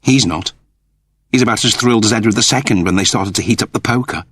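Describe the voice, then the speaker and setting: A man's voice: on the low side, fast, a bit bright, slightly rough, no echo, clean studio sound. Man acting a snippy, sarcastic, irritable coward. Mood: glum. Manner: snippy, impatient, sardonic.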